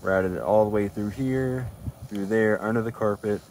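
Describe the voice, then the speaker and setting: A man's voice: lowish voice, normal speed, a little mellow, a little hiss or hum. A man presenting a casual, informal DIY walkthrough, explaining things step by step as he goes. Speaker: DIY car video presenter.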